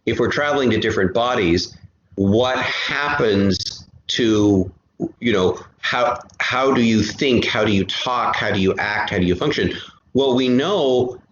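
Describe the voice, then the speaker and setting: A man speaking as an interview guest, explaining things casually in a halting way with repeated words. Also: medium pitch, fairly bright tone. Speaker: guest, audio drama creator and musician. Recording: roomy, dull, noisy remote connection.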